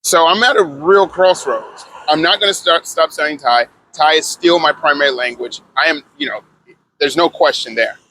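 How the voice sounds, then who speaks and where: A man's voice: fairly high pitch, moderate pace, sharp tone, slight background noise, low-quality recording. Upbeat, slangy man vlogging casually. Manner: loose and conversational, lots of fillers and self-corrections. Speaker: language-learning vlogger. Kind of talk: casual rambling vlog.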